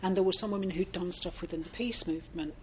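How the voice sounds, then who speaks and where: A woman's voice: lowish voice, fast. An older woman with a British accent reminisces in an interview, telling anecdotes reflectively and voicing what people said. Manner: anecdotal, reflective, acts out reported speech. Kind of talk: reminiscing in an oral history interview. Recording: dull, muffled lo-fi recording.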